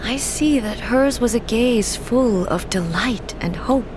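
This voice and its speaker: A woman voicing a stately, resolute female god-ruler in a game, speaking in a measured, formal way, over game music. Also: on the high side, background noise. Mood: solemn. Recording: game audio with loud music.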